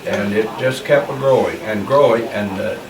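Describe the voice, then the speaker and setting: An elderly man, recounting his working life in a plainspoken, rambling way with many pauses and fillers. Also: lowish voice, a crowd in the background. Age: 80s. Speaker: interviewee. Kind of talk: oral history interview. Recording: distant mic in a roomy space with background noise.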